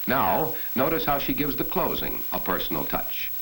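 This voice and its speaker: A man's voice: medium pitch, wildly swooping pitch, normal speed, creaky, a bit bright, rough recording. A man narrating an instructional film in earnest 1940s style, addressing the viewer directly as he teaches. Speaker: narrator of a corporate training film. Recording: hissy 1940s film soundtrack.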